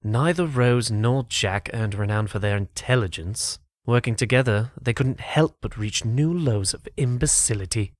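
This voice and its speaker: A man's voice: mid-range voice, moderate pace, hardly any pauses, no room sound, clean studio sound, natural voice. A man playing a haughty, sneering aristocrat in a comic dub, speaking with articulate sarcasm. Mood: disdainful. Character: Prince Charming, haughty sneering dispossessed aristocrat. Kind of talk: amateur comic dub acting.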